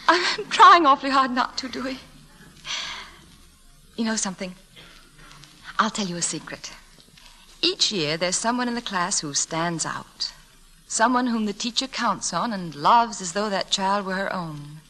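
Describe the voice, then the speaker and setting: An actress playing a gentle, earnest woman schoolteacher in theatrical, sentimental 1940s radio drama style. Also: mid-range voice, expressive, measured pace, long pauses. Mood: tearful tenderness. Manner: tender, earnest, theatrical delivery.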